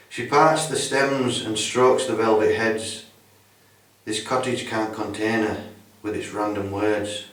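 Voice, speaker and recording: A man's voice: on the low side, fairly bright tone, recorded from a distance. A man reading a poem aloud in a very echoey room, away from the mic. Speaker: poetry reader.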